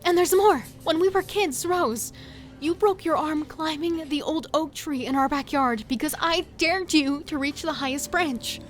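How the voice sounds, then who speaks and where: A woman's voice: high voice, a little slow, soft background music. A woman playing a confused, insistent, frightened sister who pleads urgently in an audio horror drama. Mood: earnest, pleading.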